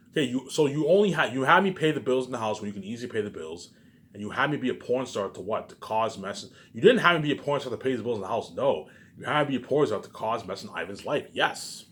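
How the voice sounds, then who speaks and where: A man delivering an unscripted, agitated rant, repetitive and profane, often stumbling over and repeating his words. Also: medium pitch. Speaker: vlogger. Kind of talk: unscripted rambling rant to camera.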